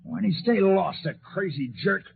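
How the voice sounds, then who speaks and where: A man's voice: sits high, lively intonation, moderate pace, slight background noise. An actor playing a crude, grumbling American spaceship crewman in a 1950s radio drama, slangy and scornful. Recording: husky-sounding tinny 1950s radio recording.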